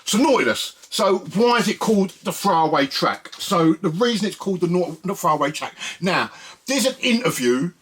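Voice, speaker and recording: A man's voice: high-pitched, sing-song, moderate pace, fairly bright tone, a little hiss or hum. A man hosting a music video series, talking casually and directly to his viewers in a conversational way. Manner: conversational, addresses viewers directly with frequent "listen" and "yeah". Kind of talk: casual chat to viewers about records and samples.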